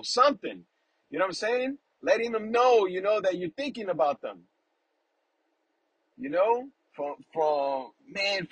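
A man with a high, slightly husky voice talks through a casual faith podcast. He rambles warmly and affectionately and keeps checking in with his listener. The sound is close and dry, with no room echo. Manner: rambling, fills with "you know what I'm saying"; affectionate, warmly emotional about family. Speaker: podcast host. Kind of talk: casual heartfelt faith talk.

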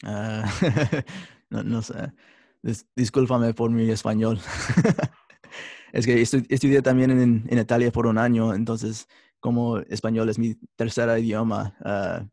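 A young man, a religious brother studying for the priesthood, speaking Spanish as a third language and answering in a halting way with many restarts. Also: fairly low pitch, creaky, dark tone, dry recording, studio quality. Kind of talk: interview answers.

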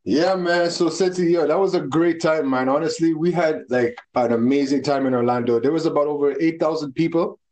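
A young man from the Bahamas, a DJ guest on a casual podcast, talking in a hyped, slangy way and giving shout-outs. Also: a little high, fairly even intonation, brisk, hardly any pauses. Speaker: DJ and carnival spokesperson guest.

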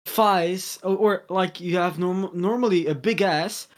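A teenage boy co-hosting a podcast over a video call, excitable, swearing and restarting his sentences. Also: sits high, unhurried. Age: teens. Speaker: podcast co-host. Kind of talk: casual chat.

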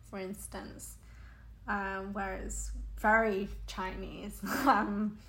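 Slow, gravelly-voiced woman. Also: mid-range voice, flat delivery, fairly bright tone, poor audio. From United Kingdom. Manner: hesitant, many ums, laughs often. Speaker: interviewee. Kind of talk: conversational interview. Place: Hong Kong.

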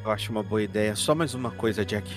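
A man playing an earnest, uneasy detective in a horror roleplaying game, with formal, deliberate phrasing. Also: lowish voice, soft background music, lightly acted. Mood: hesitant, curious.

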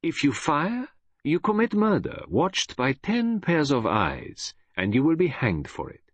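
A man voicing a courteous, shrewd detective in an audiobook, with a gentle, precise, self-assured manner. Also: a little high, wildly swooping pitch, measured pace, slightly rough, dry recording, voice pushed up, exaggerated voice. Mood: triumphant, assured. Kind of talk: audiobook character voice.

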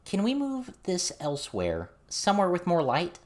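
A woman's voice: on the low side, wildly swooping pitch, normal speed, a little mellow. A woman voicing a curious, outspoken teenage girl in an earnest, informal, questioning way. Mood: direct.

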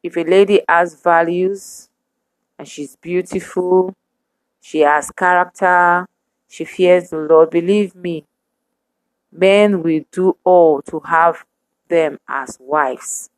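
Slow, low-voiced woman preaching. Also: fairly even intonation, no room sound, poor audio. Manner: measured, emphatic repetition. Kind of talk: sermon to women.

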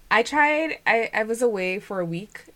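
A woman co-hosting a podcast in casual chat, talkative and quick with asides. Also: fairly high pitch, expressive, a bit bright. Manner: chatty, sprinkles in "like" and quick asides. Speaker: podcast co-host.

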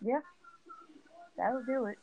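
A woman's voice: on the high side, takes it slowly, gravelly, breathy edge, narrow, tinny audio. A woman co-hosting a casual podcast discussion, chiming in with short remarks. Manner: short agreeing interjections. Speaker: podcast co-host.

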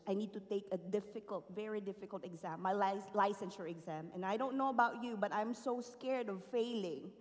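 A woman from the Philippines giving an earnest, emotional personal testimony to a church congregation. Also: a little high. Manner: earnest, emotional storytelling with rising and falling emphasis. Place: large church auditorium. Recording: long hall reverb.

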